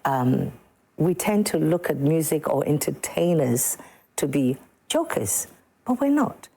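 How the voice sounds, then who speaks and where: A woman's voice: low-pitched, sing-song, measured pace, vocal fry, warm tone, breathy edge. A woman from Sekondi-Takoradi in Ghana, a musician guest on a morning TV studio show, who talks emphatically and repeats words for stress. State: a bit slow this morning.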